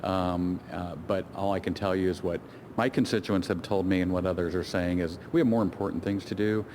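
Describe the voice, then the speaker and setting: Man, very low pitch, politician, halting interview answers, repeated words, noticeable background noise. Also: steady tone, a little mellow. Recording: clear ambient and vehicle noise.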